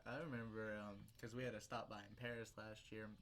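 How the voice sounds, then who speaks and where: A man's voice: on the low side, flat delivery, fairly quick, over a noisy crowd. A young man co-hosting a casual podcast, telling stories and laughing often. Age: young adult. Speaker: podcast co-host. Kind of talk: casual chat.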